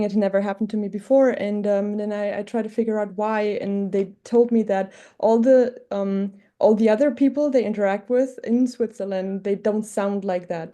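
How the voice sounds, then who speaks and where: Very dark-voiced German woman, hesitantly reflective. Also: mid-range voice. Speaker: multilingual scholar presenting at a book talk. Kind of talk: informal academic presentation, personal reflection.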